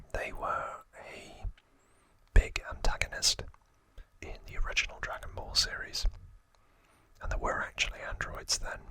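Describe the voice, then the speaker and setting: A man speaks in a breathy ASMR whisper with a very bright, crisp edge. He explains things slowly and deliberately, leaving pauses between short hushed phrases, as a host guiding the listener along. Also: poor audio. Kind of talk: whispered ASMR explainer, scripted-feeling trivia retelling with asides.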